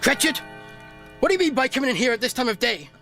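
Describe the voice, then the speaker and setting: Radio play: elderly ex-miser, giddy and effusive, voice pitched way up, full of exclamations. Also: male voice, big pitch swings, quick pace, bright, forceful tone, soft background music, exaggerated voice. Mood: mock stern. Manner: exclamatory, effusive, repeats phrases. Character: reformed old miser, giddy, generous, gleefully excited gentleman. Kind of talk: radio drama acting.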